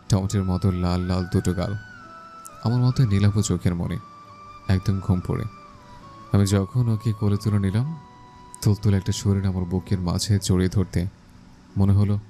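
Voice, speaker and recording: Man acting a deep, gravelly lovestruck husband. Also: dark tone. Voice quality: gravelly, low voice. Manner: slow romantic declarations to his beloved. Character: brooding, intensely devoted young husband in love. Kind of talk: audio love story acting.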